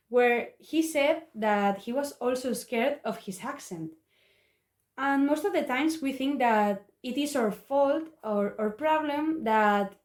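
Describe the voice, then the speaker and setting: Slow-spoken young woman with Spanish accent. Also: on the high side. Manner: halting, earnest delivery in learner English. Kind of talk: prepared motivational talk. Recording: echoey room.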